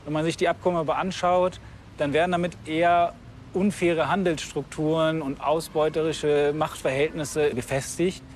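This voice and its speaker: A man answers interview questions in a somewhat high voice. He is outdoors in a city with faint traffic noise around him. He sounds thoughtful and hesitates now and then. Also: level tone, normal speed.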